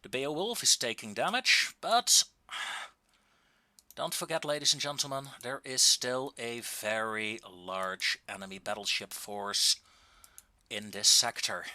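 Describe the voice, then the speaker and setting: A man giving casual, wry and understated commentary on a video game, with drawn-out pauses, into a close microphone. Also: mid-range voice, sharp tone, dry recording.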